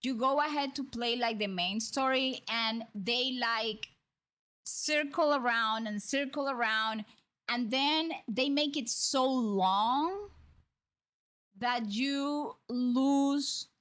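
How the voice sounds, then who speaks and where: A woman's voice: fairly high pitch, takes it slowly, a bit bright. A woman streamer with a Dominican accent chatting casually with viewers, rambling with frequent restarts and repeated words. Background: music.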